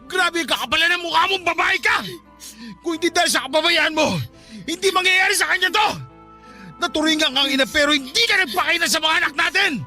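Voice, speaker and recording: High-strained, anguished, furious father. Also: fairly quick, faint music behind, heavily acted. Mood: furious, accusing. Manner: anguished, shouting, accusatory delivery. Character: grieving, furious working-class father blaming his wife. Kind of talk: melodramatic radio drama acting.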